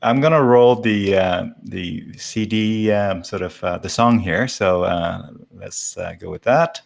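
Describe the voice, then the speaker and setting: Low, creaky-voiced man hosting casually. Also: unhurried. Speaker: host of a live online show. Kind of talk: casual chat, reading out viewer comments.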